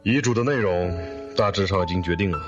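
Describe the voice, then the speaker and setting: A man playing an elderly, authoritative company chairman in an audio drama, speaking in a measured, serious way. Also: low-pitched, dull audio, natural voice. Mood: grave, measured. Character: elderly company chairman, deliberate, drawing up his will. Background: loud background music.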